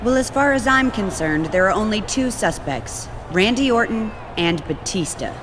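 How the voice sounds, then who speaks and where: A woman's voice: mid-range voice, expressive, normal speed, lightly acted. Game cutscene: actress as commanding woman general manager, mid-range voice, strict, noisy background. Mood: firm, businesslike. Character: stern, commanding female wrestling boss. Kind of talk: video game cutscene acting.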